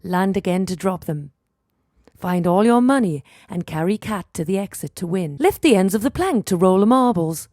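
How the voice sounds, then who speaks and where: A woman with a medium-pitched voice delivers scripted game instructions. She enunciates clearly, in the manner of a tutorial narrator. The recording is dry, with no room sound on the voice. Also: a little mellow, dry recording.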